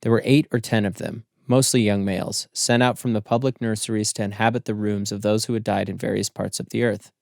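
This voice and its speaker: A man narrating a literary story as an audiobook, in a measured, formal storytelling manner. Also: fairly low pitch, fairly even intonation, gravelly, no room sound, clean studio sound.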